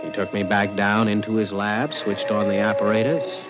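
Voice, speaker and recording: An actor playing a scheming, greedy crook who also narrates, persuasive and glib, in 1940s radio suspense style. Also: male voice, fairly low pitch, level tone, moderate pace, natural voice. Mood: sly. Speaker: protagonist and narrator. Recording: dull old radio recording with music.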